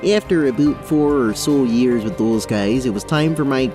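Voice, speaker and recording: A man's voice: medium pitch, normal speed, over music, natural voice. A man voicing a small, easygoing goat-man monk in a tabletop audio drama, talking casually with some hesitation. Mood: wistful.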